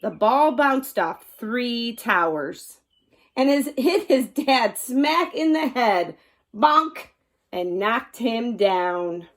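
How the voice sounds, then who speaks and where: A woman's voice: fairly high pitch, lively intonation, drawn-out words. A woman storyteller reading a children's picture book aloud expressively, acting out the sound words with drama.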